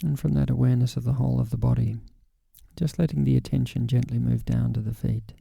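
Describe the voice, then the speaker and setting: Male meditation guide, even pitch, husky, calm and gentle, unhurried, long pauses, dry close sound. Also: dry recording. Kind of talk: guided body scan meditation.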